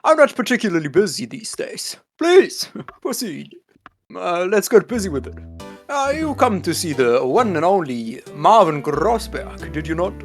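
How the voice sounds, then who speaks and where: Pompous older male attorney played by an amateur, animated delivery, evasive, stumbling, comic game-dub feel. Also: mid-range voice, a little slow, soft background music. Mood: smug, dismissive. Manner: stammering, chuckling, repeating himself.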